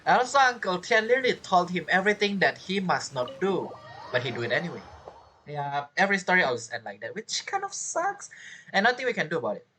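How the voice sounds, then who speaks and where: Bright, lively gaming streamer. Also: medium pitch, fast, a little hiss or hum, low-quality recording. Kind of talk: casual let's play commentary.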